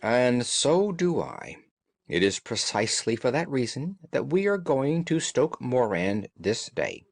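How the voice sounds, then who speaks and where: A man voicing a shrewd gentleman detective in an audiobook, calm, analytical and authoritative, with formal, deliberate phrasing. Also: medium pitch, creaky, dry recording, full character voice.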